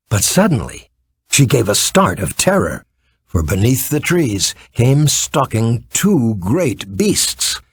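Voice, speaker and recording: Animated male storybook narrator, dry sound. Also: medium pitch, breathy edge, no echo. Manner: expressive, theatrical storytelling. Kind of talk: scripted children's audiobook narration.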